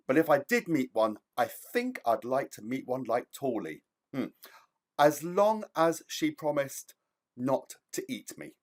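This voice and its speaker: A man, a children's author, speaking to young children in an enthusiastic, theatrical storytelling style with emphatic delivery. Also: on the high side.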